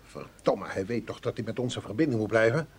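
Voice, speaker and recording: A man acting an impatient intelligence chief in a radio drama, speaking with brisk authority. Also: fairly low pitch, breathy edge.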